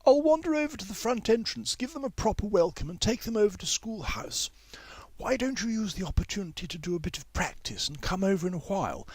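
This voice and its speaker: A man voicing a brisk, gracious woman music teacher in a storybook, with a trilling, formal, theatrical manner. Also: high voice, very animated, slightly rough, dry recording, voice pushed up, heavily acted. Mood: bright, trilling. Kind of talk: storybook character voice.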